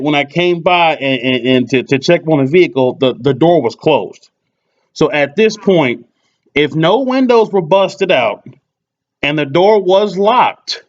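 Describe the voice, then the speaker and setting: A man making a customer complaint by phone, insistent but polite, often stammering and repeating his words. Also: fairly high pitch. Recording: muffled lo-fi recorded phone call.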